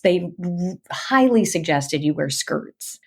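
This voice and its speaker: A woman's voice: low voice, expressive, slow pace, creaky, breathy edge. A woman, an audiobook narrator, animatedly telling her story in a casual interview over the internet, laughing and acting out quotes. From Northeastern Pennsylvania. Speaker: audiobook narrator and actor, guest. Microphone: remote call over the internet.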